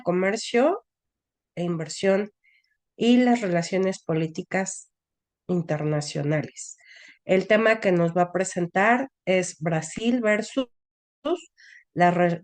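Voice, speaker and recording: A woman moderates an academic online seminar. She speaks very slowly in a somewhat low voice, pausing often on "eh" and "este". The sound is dry and close, with no room echo. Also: darkish tone. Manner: unhurried, hesitant with "eh" and "este" fillers. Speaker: session moderator.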